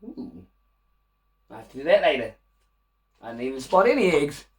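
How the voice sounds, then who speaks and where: Animated man reading a children's story. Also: on the high side, normal speed, far from the mic. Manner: animated, with asides and questions to young listeners. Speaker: children's storybook reader and host. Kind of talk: expressive read-aloud of a rhyming children's picture book.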